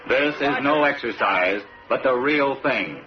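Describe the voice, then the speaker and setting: A man's voice: mid-range voice, lively intonation, a little slow. Male American narrator for 1960s army radio documentary: solemn, dramatic, dull archival sound over prominent music. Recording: dull old radio recording, distant, loud music.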